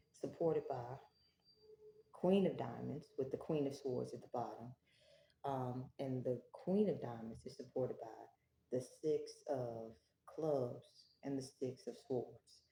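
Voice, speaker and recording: Woman, tarot reader, very low voice, soft-spoken, slow and prayerful, echoey room. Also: a little hiss or hum. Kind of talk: spiritual tarot reading. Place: home, early morning.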